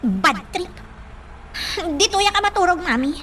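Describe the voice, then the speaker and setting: An actress with a Filipino accent playing a spoiled, petulant young daughter in a radio drama. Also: female voice, very high voice, very animated, unhurried, a bit bright, slight background noise, exaggerated voice. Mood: annoyed.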